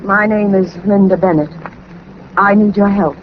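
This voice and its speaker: A woman's voice: mid-range voice, fairly even intonation, normal speed, close to an everyday voice. An actress playing an evasive, anxious young woman in trouble, with clipped, defensive 1940s radio drama delivery. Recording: muffled old radio recording, faint hiss.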